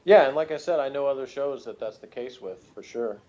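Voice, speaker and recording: A man's voice: mid-range voice, brisk, a little mellow. A man chatting casually as co-host of a review show, explaining himself in a hesitant, self-qualifying way. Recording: slightly dull, lo-fi sound.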